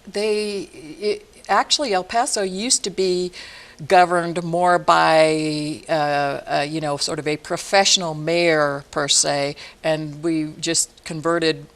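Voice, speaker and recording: Low-voiced woman hosting a TV interview. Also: fairly even intonation, slow pace. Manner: warm, frequent affirming murmurs. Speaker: TV talk show host. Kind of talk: studio interview. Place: TV studio.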